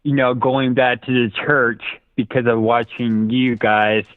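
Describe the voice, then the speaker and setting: A man's voice: mid-range voice, fairly even intonation, measured pace, no room sound. A man chatting casually as a regular caller phoning in to a talk show. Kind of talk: casual phone call-in chat. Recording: dry, narrow phone line.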